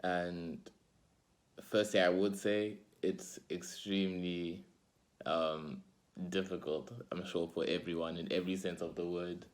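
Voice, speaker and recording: A man in his twenties from Zimbabwe, giving a measured, thoughtful self-recorded reflection. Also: low voice, little pitch movement. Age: 20s.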